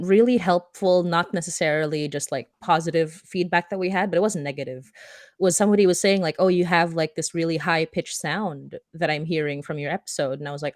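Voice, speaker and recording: A woman's voice: fairly low pitch, no echo, studio quality. A woman hosting a podcast discussion in a casual, chatty, anecdotal way. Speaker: podcast host and moderator. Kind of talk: casual chat.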